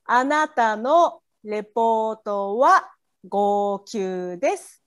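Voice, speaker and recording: A woman's voice: on the high side, animated, takes it slowly, clear, resonant tone. A Japanese woman with a Japanese accent, encouraging as she casually teaches phrases bit by bit. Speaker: guest language tutor.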